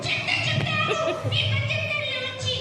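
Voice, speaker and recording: Super squeaky whiny little kid puppet, tattletale, flat tone, big echoey hall. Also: female voice, fairly quick. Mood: tattling, teasing. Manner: childish, complaining. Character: small whiny tattling little girl puppet, playful, indignant. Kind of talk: children's puppet show acting. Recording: very echoey hall from far off, loud music.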